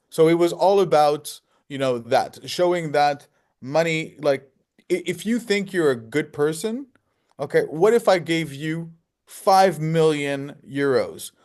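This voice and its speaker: A man's voice: fairly high pitch, a little mellow. A man who is a filmmaker, answering interview questions conversationally and explaining his ideas with rhetorical questions.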